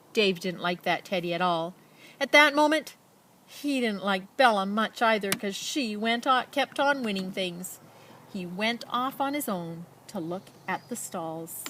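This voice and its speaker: A woman's voice: mid-range voice, a little hiss or hum. Woman reading a children's storybook expressively. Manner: expressive, dramatic emphasis and pauses. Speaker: storybook reader. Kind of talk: children's picture book read-aloud with casual asides.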